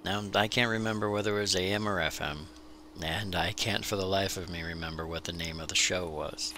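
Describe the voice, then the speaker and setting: A man hosting a maker video, telling his story as scripted voice-over in deliberate phrases. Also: low-pitched, fairly even intonation, pushed and bright, slight background noise.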